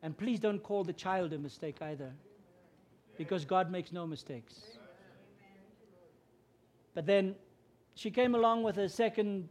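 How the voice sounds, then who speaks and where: A man's voice: sits high, a little slow, pauses often. Afrikaans-man preaching. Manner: earnest, grows louder and more emphatic when preaching. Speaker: guest preacher. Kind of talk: sermon.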